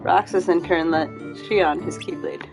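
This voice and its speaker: A woman streaming a game live, explaining the story in a halting way as she thinks aloud. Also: on the low side, gravelly. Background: game music.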